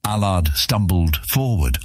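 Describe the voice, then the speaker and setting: A man narrates a fantasy tale in a very deep, husky voice. His delivery is scripted, measured and dramatic. The sound is close and very dry. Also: expressive, dry recording. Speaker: audiobook narrator.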